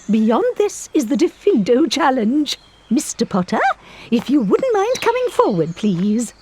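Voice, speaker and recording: A woman voicing a formal, strict but kindly witch professor in a video game, instructing deliberately in a husky voice. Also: high voice, a little mellow, slight background noise, heavily acted. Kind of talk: video game character acting.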